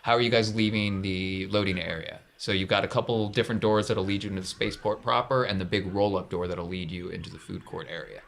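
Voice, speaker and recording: A man's voice: deep voice, fairly even intonation, fast, creaky, hardly any pauses, fairly bright tone. A man casually narrating a tabletop game as the game master.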